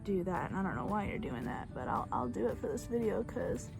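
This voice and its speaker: A woman's voice: mid-range voice, very animated, a little fast, vocal fry, music in the background. Chatty woman, Bulgarian native speaker. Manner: chatty, rambling, self-deprecating. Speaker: beauty vlogger. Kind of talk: casual makeup tutorial chat. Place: outdoors on a back porch. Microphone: phone camera.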